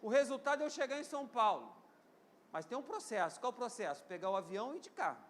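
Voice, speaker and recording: High-voiced Brazilian pastor in his fifties. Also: sing-song, quick pace, slight background noise. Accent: Brazilian, Rio de Janeiro. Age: 50s. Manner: call-and-response preaching, urging the congregation to repeat phrases and say amen; swings between loud emphatic peaks and quieter stretches. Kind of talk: live church sermon.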